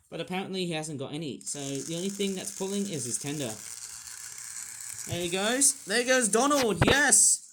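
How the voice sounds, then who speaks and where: A man's voice: high-pitched, a bit bright, a little hiss or hum. A young man casually reviewing a toy train set, rambling and apologetic, with many fillers.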